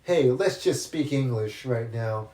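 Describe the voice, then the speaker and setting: A man's voice: medium pitch, expressive, unhurried, a little mellow. A man co-hosting a casual movie podcast, rambling with many restarts and plenty of enthusiasm. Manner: rambling, restarts often, upbeat about films. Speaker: podcast co-host. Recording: roomy echoey room.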